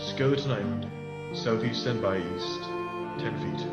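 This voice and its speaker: A man's voice: fairly low pitch, a little slow, lightly acted. Radio play role: wily pirate ringleader male actor, old sea-dog dialect, persuasive, muffled and reverberant. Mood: calculating. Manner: rustic old-sailor dialect. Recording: muffled, echoey audio under loud music.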